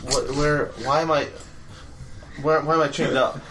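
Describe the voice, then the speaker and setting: Halting male game master narrating. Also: a little high, steady tone, background noise. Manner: halting, restarts often, laughs at own descriptions.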